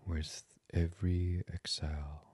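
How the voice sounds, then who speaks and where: A man with a deep, breathy voice leads a bedtime relaxation in ASMR style. He speaks very slowly and softly, often dropping almost to a whisper. The sound is close and dry, with no room around it. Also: flat delivery, no echo. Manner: hushed, soothing delivery slipping into near-whisper. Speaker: meditation podcast host. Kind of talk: guided sleep meditation, ASMR.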